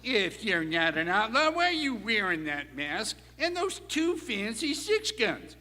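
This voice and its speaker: A man's voice: high voice, sing-song, a little slow, pushed and bright, heavily acted. A man playing a tough, suspicious ranch boss in an Old West radio play, with folksy, emphatic cowboy talk in an echoey hall. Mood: defiant, suspicious. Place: theater stage before a live performance hall. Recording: echoey concert hall, faint noise.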